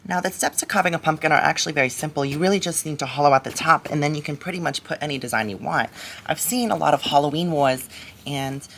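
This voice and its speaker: A nasal, gravelly suburban cartoon housewife, played by a woman, rambles through a homemade craft vlog. She is chatty and halting, with a nervous edge and salty asides. There is clear noise in the home recording. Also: low-pitched, fairly bright tone. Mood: chatty, assertive. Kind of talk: parody craft vlog in character.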